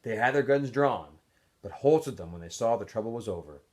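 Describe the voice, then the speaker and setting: A man reading a story aloud in an expressive storytelling style, acting out the dialogue. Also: mid-range voice, fairly quick. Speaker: teacher reading a novel aloud. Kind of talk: storybook read-aloud narration.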